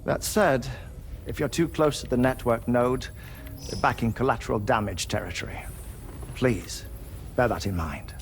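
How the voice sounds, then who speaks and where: Actor as businesslike mid-pitched military crewman. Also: normal speed, vocal fry, music in the background, lightly acted. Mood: cautioning. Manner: businesslike, cautioning. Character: practical ship's crewman in charge of fire support. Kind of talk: game cutscene acting.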